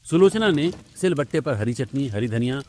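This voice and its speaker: Expressive male Hindi story narrator. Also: medium pitch, normal speed, a little hiss or hum. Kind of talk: scripted storytelling narration for a family cartoon.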